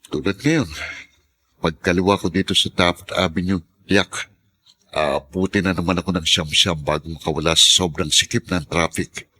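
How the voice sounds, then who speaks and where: A man playing a grumbling but soft-hearted taxi driver in a radio drama, speaking in a colloquial, teasing way. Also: low voice, normal speed, husky, heavily acted.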